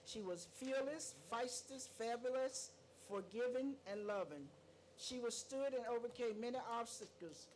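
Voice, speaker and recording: A woman on a city council reads a memorial resolution aloud in a slow, measured way, then adds informal remarks of her own. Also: medium pitch, darkish tone, slight background noise, poor audio.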